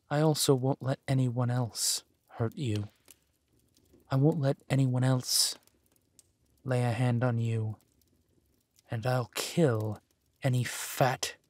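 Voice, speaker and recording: A man playing a possessive, menacing villain husband who is tender to his spouse, in a slow, soothing, theatrical manner for a roleplay audio drama. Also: medium pitch, no room sound. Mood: quietly protective.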